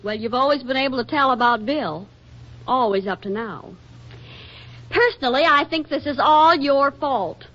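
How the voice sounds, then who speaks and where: A woman acting in a 1940s radio drama as a brisk, bossy, jealous young wife with an emotional, scolding delivery. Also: high-pitched, fairly bright tone. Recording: muffled vintage 1940s radio recording.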